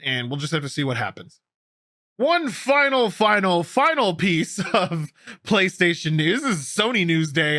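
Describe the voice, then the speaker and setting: A man's voice: fairly high pitch, bright, forceful tone, no echo, clean studio sound. A man hosting a gaming news show, commenting casually and enthusiastically on stories with a co-host. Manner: enthusiastic, opinionated, conversational.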